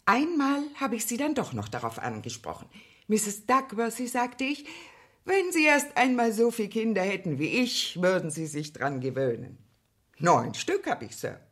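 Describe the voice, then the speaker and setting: A woman's voice: medium pitch, very animated, normal speed. An actress playing a talkative, deferential working-class mother of many in a German radio play, chatty and rambling. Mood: gossipy, confiding. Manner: chatty, rambling, deferential, quoting herself and others.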